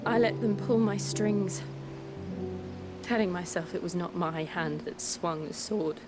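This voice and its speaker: An actress playing a grave, remorseful young warrior woman in a fantasy video game, speaking in a slow, solemn, confessional way. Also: lowish voice, lightly acted. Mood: bitter remorse. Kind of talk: dramatic fantasy game acting. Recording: dramatic music under the voice. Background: loud music.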